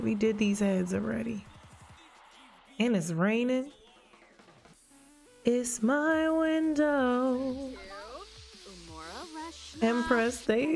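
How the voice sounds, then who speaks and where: A young woman livestreaming a life-sim game, chatting casually with her viewers in a lively, joking, profane way on a headset mic. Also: a little high, gravelly. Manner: lively, joking, talks back to chat, profane slang. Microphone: headset mic at desk. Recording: game music playing behind.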